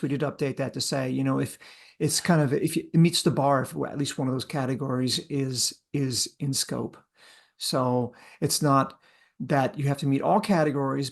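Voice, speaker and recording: A man's voice: mid-range voice, gravelly. A man answering a question in a webinar, restarting and correcting himself often. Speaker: project presenter answering a question.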